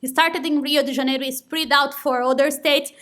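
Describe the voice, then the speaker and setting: A woman's voice: high voice, fairly even intonation, brisk, a bit bright. A young woman from Brazil with a Brazilian Portuguese accent, giving an earnest conference talk in non-native English. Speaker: conference speaker. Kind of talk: conference presentation.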